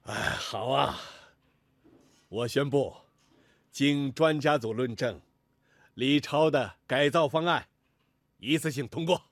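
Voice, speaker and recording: An actor playing an authoritative factory leader making official announcements in a radio drama. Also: male voice, medium pitch, slow, pauses often, slight background noise. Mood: approving, official. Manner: official, declarative.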